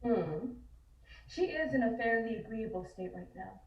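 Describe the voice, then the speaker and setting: A woman playing a courteous, guarded sanitarium orderly in a script table read, with a measured, hesitant delivery. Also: a little high, animated, natural voice. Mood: guarded, polite. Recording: echoey, muffled, distant call audio.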